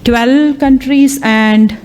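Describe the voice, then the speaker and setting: A woman's voice: high voice, steady tone, very slow, a little mellow. Woman speaking at a live conference. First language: Tamil. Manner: hesitant, many fillers and restarts. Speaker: AI lecturer and workshop program chair. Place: conference hall.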